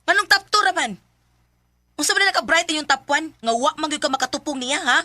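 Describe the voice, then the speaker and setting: A woman's voice: sits high, expressive, a little fast, sharp tone. An actress playing a scolding, belittling, domineering mother in a radio drama. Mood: scornful, angry. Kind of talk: Philippine radio drama acting.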